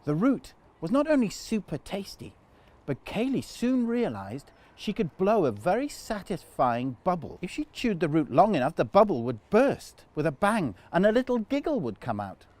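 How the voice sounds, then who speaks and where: A man telling a children's story in an animated storytime narration style. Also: sits high, normal speed.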